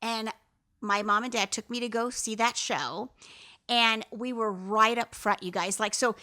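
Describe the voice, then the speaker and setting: A woman's voice: fairly high pitch, measured pace, sharp tone. A woman in her fifties who co-hosts a casual podcast, telling stories and often turning to her listeners. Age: 50s. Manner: chatty storyteller who keeps addressing listeners. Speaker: podcast co-host, mother. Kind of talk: casual chat.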